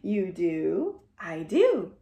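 A young woman reading aloud to small children in a slow, animated, sing-song way, like a dance teacher addressing her class. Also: fairly low pitch, creaky, echoey room. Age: young adult. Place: small furnished room indoors. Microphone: camera a short distance away.

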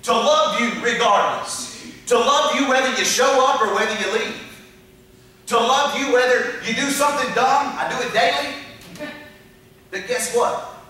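A man's voice: extremely high pitch, unhurried, pushed and bright. A middle-aged man preaching a sermon on a church stage into a handheld mic, building emphatic lists of repeated phrases. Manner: emphatic, repetitive preaching with long rhetorical lists. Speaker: pastor. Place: dark church stage with projection screen. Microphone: handheld mic, filmed from a far camera. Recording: echoey church hall from far off.

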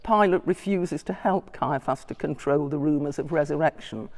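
An older woman giving a scholarly talk from her notes, measured, with small hesitations and asides. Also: low voice, a little mellow. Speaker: lecturer. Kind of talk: public talk reading from notes. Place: large church interior. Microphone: distant room mic. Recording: long church reverb.

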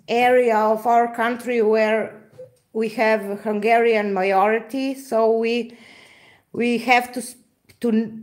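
A woman from Slovenia speaks English with a Slovenian accent, very slowly and a little high. She talks in a halting, casual way, often pausing to search for words. She is recorded indoors at a craft table by a camera mic above it. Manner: halting, searches for words, frequent fillers and laughs. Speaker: acrylic pouring artist hosting a live stream. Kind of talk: casual live-stream chat reading viewer comments.

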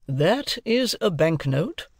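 A man performs a domineering, middle-aged lawyer in an audiobook. He is brusque and emphatic, as if questioning a witness. The pitch is high and swings widely in a dry, close recording. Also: very animated, husky, no room sound, exaggerated voice. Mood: emphatic, formal. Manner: cross-examining, emphatic, deliberate. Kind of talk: audiobook character dialogue.

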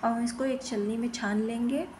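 A woman's voice: fairly high pitch, steady tone, normal speed, dark tone, a little hiss or hum. A woman giving plain-spoken, step-by-step instructions in a recipe voice-over as host of a cooking channel.

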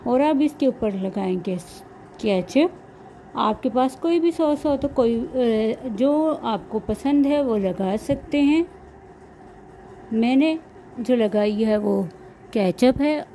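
A woman's voice: on the high side, dark tone, poor audio. A woman narrating a recipe step by step as a cooking vlog host, in a homemade recording. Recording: clear background noise, homemade recording.

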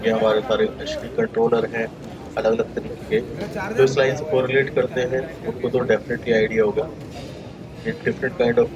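A man's voice: mid-range voice, dull audio. A man casually showing viewers around his exhibition stall, explaining step by step. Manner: relaxed, explains step by step, fillers like "bole to". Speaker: exhibitor giving a stall tour. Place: busy exhibition hall.

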